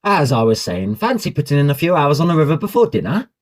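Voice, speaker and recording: A man's voice: on the high side, sing-song, quick pace. A man playing a hearty, gossipy young student oarsman in a Victorian audio drama, speaking emphatically in clipped British English. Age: young adult.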